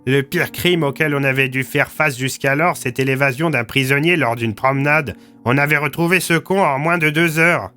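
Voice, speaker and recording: Man acting a blunt, bright-voiced village police commissioner. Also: mid-range voice, normal speed, hardly any pauses, faint music behind, pitched above the performer's own voice, lightly acted. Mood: grim recounting. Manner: gruff slang, blunt storytelling. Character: rattled, blunt male small-town police commissioner, survivor. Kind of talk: audio drama storytelling.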